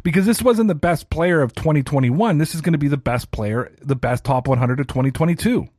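A man's voice: on the high side, animated, fairly quick, a little mellow, no echo, studio quality. A man in his thirties hosting a solo sports podcast, talking casually and ranting with a self-deprecating streak. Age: 30s. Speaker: podcast host.

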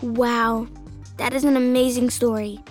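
A woman's voice: on the high side, little pitch movement, a little slow, a little mellow, faint music behind. A woman hosting a scripted interview show made for children. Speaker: podcast host.

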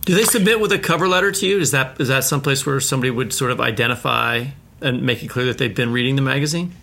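A man's voice: medium pitch, fast, gravelly, bright, forceful tone, a little hiss or hum. A man co-hosting a podcast, interviewing in an informal, enthusiastic, rambling way. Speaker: podcast co-host. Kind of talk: casual, chatty interviewing.

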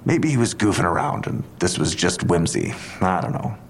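A police detective in an audio drama. His voice sits very low and he reasons in a deliberate, analytical way. A faint rumble runs underneath. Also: expressive, vocal fry. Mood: measured, speculative.

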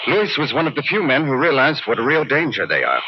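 An actor playing an earnest, serious newspaper editor in a 1950s radio drama, speaking emphatically. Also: male voice, mid-range voice, very animated, quick pace, close to an everyday voice. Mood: grave. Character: earnest male newspaper editor, dead publisher's right-hand man. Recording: muffled, noisy old radio recording.

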